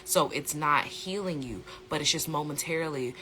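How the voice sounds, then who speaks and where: An American speaker with a slightly hoarse voice, chatting casually and emphatically as a host explaining things in an educational vlog, repeating phrases for stress. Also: mid-range voice, normal speed, pushed and bright, a little hiss or hum, low-quality recording. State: slightly hoarse from a sore throat. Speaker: host, plant-based lifestyle coach.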